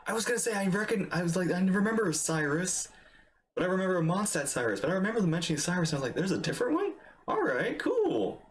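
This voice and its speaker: Animated, chatty male game streamer. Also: high voice, quick pace. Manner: enthusiastic, chatty. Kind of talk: casual livestream reaction commentary.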